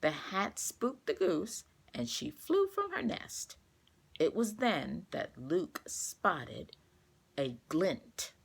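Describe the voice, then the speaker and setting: A woman reading a children's storybook aloud, expressive and carefully paced for young listeners. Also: lowish voice, slow, gravelly, husky.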